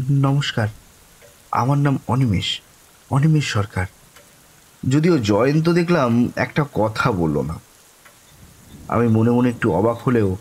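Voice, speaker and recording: A man playing a courteous young man who narrates his own eerie encounter in a horror audio story, lingering and expressive. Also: medium pitch, husky, heavily acted, low-quality recording. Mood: courteous, hesitant. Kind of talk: dramatic first-person horror story narration.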